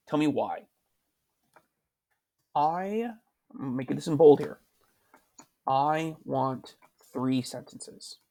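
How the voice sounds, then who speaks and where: Gravelly-voiced male teacher walking through a lesson. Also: mid-range voice, a little mellow. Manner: patient, repeats questions to prompt students. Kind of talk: screen-recorded writing lesson.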